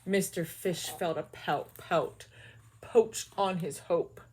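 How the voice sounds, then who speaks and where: A woman teacher reading a children's picture book aloud with expressive storytelling and quick, quiet asides. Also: fairly low pitch, breathy edge.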